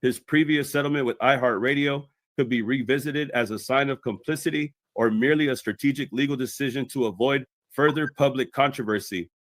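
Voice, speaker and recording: A man's voice: medium pitch, fairly quick, few pauses, a bit bright, no echo. A man delivering scripted explanatory commentary as a video commentator.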